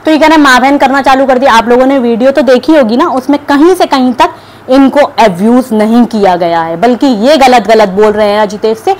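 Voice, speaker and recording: A woman's voice: sits high, rough recording. A young woman vlogger giving combative reaction commentary to camera, scolding and sarcastic. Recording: clear background noise.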